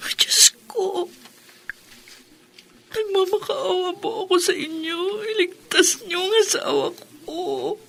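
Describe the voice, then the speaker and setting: Devoted grieving wife, tearful pleading, slow high delivery, radio melodrama, faint music. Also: female voice, fairly even intonation, creaky, fairly bright tone, full character voice. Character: devoted, grief-stricken wife pleading for her husband. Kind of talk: Filipino radio melodrama acting.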